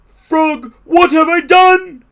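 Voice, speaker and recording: A man voicing an excitable, vain yet anxious male toad in a storybook, with emphatic delivery. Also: very high voice, unhurried, pitched above the performer's own voice. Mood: panicked, pleading. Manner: emphatic, crying out.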